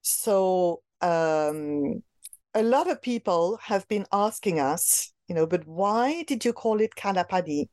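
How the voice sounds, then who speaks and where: A woman's voice: on the low side, expressive, takes it slowly, a little mellow, no echo, clean studio sound. A woman being interviewed about a book she edited. She speaks in an academic way and hesitates often, with many fillers and restarts. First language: not English.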